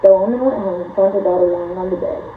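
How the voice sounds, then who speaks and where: A woman with a slightly hoarse voice, reading scripture aloud and explaining it earnestly, asking the listener rhetorical questions. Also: mid-range voice, quick pace. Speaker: Bible video host. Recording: tinny phone-like sound, faint hiss.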